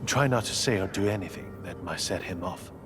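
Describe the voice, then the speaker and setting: Weary, low-voiced male field surgeon acted by a man. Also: brisk, close to an everyday voice. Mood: cautioning. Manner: dry, weary, self-deprecating. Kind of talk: video game voice acting. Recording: clear music behind the voice.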